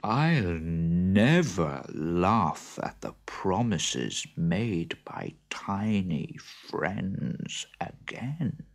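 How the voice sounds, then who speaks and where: Man playing a slow, deep storybook lion. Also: big pitch swings, heavily acted. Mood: humbled, grateful. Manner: slow, drawn-out words. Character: mighty male lion, humbled and grateful. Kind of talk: children's storybook reading.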